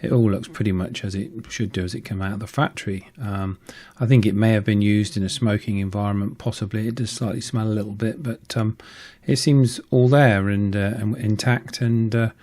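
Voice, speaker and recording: Low-voiced British man explaining hobby repairs. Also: steady tone, brisk, darkish tone. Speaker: host of a radio repair channel. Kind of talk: explanatory hobbyist narration with informal hands-on commentary.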